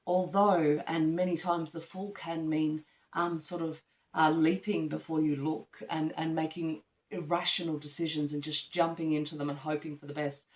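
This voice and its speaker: A woman giving a casual tarot reading, rambling with many ums and restarts, her voice slightly croaky from allergies. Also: sits low, level tone, normal speed, dull audio. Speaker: tarot reader. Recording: echoey room from far off the mic.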